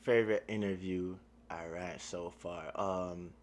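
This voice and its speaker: A man's voice: sits low, fairly even intonation, unhurried. A man from Jamaica with a Jamaican accent, a guest chatting casually in an interview with slangy, laid-back talk. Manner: slangy, laid-back, leans on "you feel me". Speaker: guest, media platform owner.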